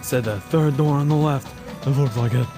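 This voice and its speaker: A man's voice: mid-range voice, normal speed, loud music behind the voice. A man narrating an adventure audio drama in a storytelling style.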